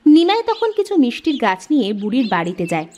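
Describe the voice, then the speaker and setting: Woman narrating with very lively intonation. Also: on the high side, quick pace, highs cut off. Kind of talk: scripted storybook narration for cartoon.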